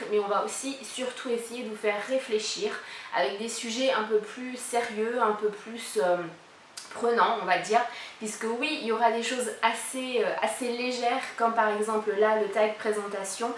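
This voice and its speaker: A woman's voice: on the high side, fairly even intonation, moderate pace, a little hiss or hum. A woman in her thirties hosting a casual vlog, talking chattily with many fillers as she answers a tag's questions. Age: 30s. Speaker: YouTuber presenting a collab channel. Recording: echoey room, mic a bit far.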